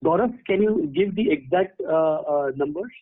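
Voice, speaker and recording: A man with an Indian accent speaking formally and haltingly as a company executive presenting results on a conference call. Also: fairly high pitch, no echo. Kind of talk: prepared earnings call remarks. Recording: narrowband conference phone line.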